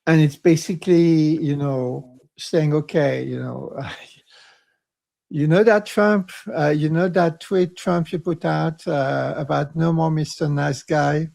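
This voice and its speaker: A man with a croaky voice co-hosting a panel discussion, rambling and self-mocking, then speaking with passion. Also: on the high side, fairly even intonation, slow, a little mellow. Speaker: co-host.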